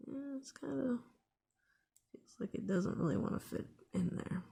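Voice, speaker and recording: A woman's voice: fairly high pitch, measured pace, gravelly, mellow, breathy edge. A woman hosting a casual hands-on DIY tutorial, thinking aloud in an unscripted way as she works. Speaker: DIY video host.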